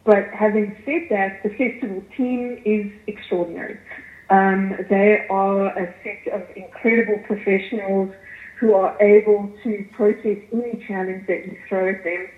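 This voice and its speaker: A woman's voice: medium pitch, steady tone, normal speed, phone-quality sound. South African woman in a roomy space. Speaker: arts festival chief executive, interview guest. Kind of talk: radio interview answers.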